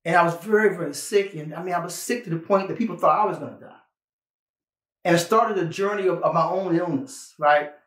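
A man's voice: high-pitched, a little fast, a bit bright. Male pastor, 50s. Conversational preaching, earnest emphasis, repeated phrases, roomy recording. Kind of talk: sermon with personal testimony, conversational. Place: small indoor studio, plain backdrop.

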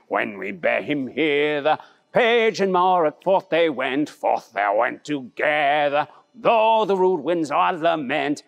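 Man singing carols, slow and theatrical. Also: a little high, vocal fry. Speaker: pantomime performer leading a sing-along. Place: indoor studio set with Christmas trees. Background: instrumental Christmas music.

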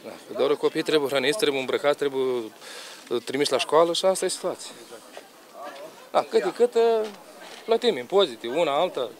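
A man's voice: fairly high pitch, some chatter behind. A man in his forties, a market trader complaining in a street interview into a reporter's handheld microphone. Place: outdoor bazaar lane among stalls.

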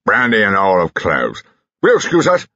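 A man voicing a stiff, gruff older general who talks in clipped fragments in a novel reading. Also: fairly low pitch, wildly swooping pitch, moderate pace, sharp tone, no room sound, lo-fi sound, exaggerated voice. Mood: curt, brisk. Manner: clipped fragments, ending in "what?".

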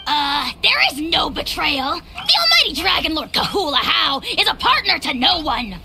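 A woman voicing a tiny, haughty, scornful male dragon lord in a video game, shrill and pompous. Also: very animated, normal speed, vocal fry, background noise, full character voice. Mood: scornful, imperious.

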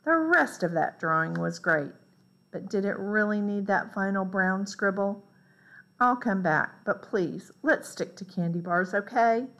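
Slow-paced woman reading a picture book. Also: mid-range voice, lively intonation, a bit bright, low-quality recording. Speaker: read-aloud storyteller. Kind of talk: picture book read aloud.

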